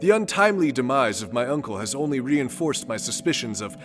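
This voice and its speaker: An actor playing a pompous, self-important space adventurer lord in a comedic sci-fi audio drama, with florid, theatrical diction. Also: male voice, medium pitch, big pitch swings, brisk, in a large hall, soft background music, natural voice. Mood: bombastic.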